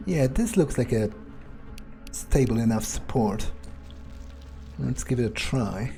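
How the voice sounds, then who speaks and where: Game vampire, male, acted by a player: jaded muttered monologue, dark-toned and unhurried, wry swearing, clear music underneath. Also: mid-range voice, expressive. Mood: wry musing. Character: jaded, foul-mouthed male vampire musing to himself. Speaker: gaming video creator playing in character. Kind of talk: in-character game playthrough monologue.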